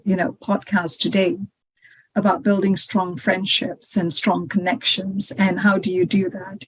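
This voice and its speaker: A woman's voice: medium pitch, low-quality recording. A middle-aged woman from Malaysia, a podcast guest telling her story in a reflective, conversational way with frequent "you know" fillers. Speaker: podcast guest, head of legal.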